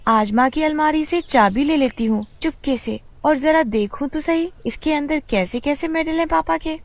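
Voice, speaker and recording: A woman's voice: sits high, normal speed, dry recording, voice pushed up. A woman voicing a curious, eager young village girl with a wide-eyed, girlish manner in a storybook tale. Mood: secretive, curious. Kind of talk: storybook character voice.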